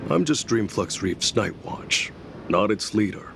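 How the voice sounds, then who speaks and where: A man's voice: lowish voice, expressive, measured pace, creaky, noisy background. An actor playing a weary, principled night watchman in a video game, solemn, restrained and formal. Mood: grave, resigned. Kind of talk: video game character acting. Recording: game music behind the voice.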